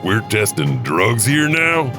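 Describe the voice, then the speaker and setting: A man's voice: lowish voice, sing-song, slow pace, music in the background, pitched above the performer's own voice. A man playing a dutiful but uneasy asylum orderly in a horror audio drama, questioning and deferential.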